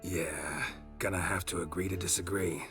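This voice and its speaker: An actor playing a stoic, grey-haired veteran warrior in a fantasy game, dry, terse and deadpan. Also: male voice, deep voice, moderate pace, music in the background, lightly acted. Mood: dry, matter-of-fact.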